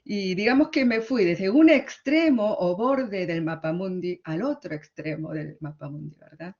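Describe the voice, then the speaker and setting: A woman's voice: on the low side, unhurried, darkish tone, highs cut off. Argentine-accented woman giving a reflective talk. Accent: Argentine Spanish. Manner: reflective, frequent tag questions. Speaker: conference speaker. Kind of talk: conference talk.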